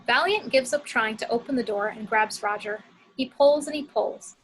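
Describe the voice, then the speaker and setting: A woman's voice: a little high, normal speed, slight background noise, rough recording. A woman reading scripted action descriptions as the narrator of a screenplay table read.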